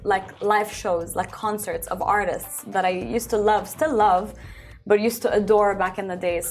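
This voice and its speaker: A young woman who grew up in Belgium, a singer talking casually in an interview, answering in long runs with many fillers. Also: mid-range voice. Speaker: singer-songwriter guest.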